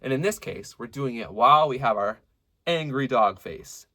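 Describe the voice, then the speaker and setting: A man with a medium-low voice teaching a singing lesson, explaining technique clearly and stopping to sing short examples. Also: normal speed. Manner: lively, demonstrative teacher who breaks into sung examples. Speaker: vocal coach.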